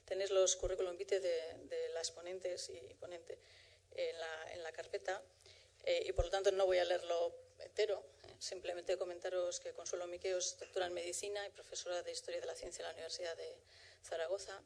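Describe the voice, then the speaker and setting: A woman moderating a conference, introducing the day and its first speaker in a measured way with frequent restarts. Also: fairly low pitch, level tone, creaky, a little mellow. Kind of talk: formal event introduction.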